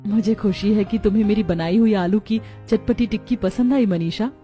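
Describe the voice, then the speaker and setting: A woman's voice: mid-range voice, normal speed, warm tone, natural voice. A woman playing caring, earnest women of a poor family and neighbourhood in a children's cartoon. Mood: happy, tender. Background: music.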